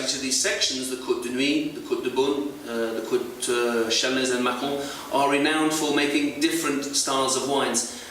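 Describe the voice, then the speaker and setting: A man's voice: medium pitch, a bit bright. A man working as an export sales manager gives an educational talk to retail staff, explaining at length with frequent hesitations. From not French. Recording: very echoey, far from mic, faint hiss.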